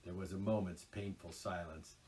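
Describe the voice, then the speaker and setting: A man reading a children's classic aloud in an expressive, unhurried storytelling manner. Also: low voice, distant mic. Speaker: storybook reader.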